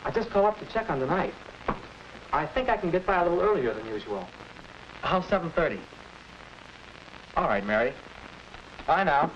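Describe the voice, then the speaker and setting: A man's voice: on the high side, expressive, normal speed, pauses often, lightly acted. A young male actor playing a confident, worldly older brother in a 1940s educational film. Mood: easygoing. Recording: muffled, noisy 1940s film soundtrack.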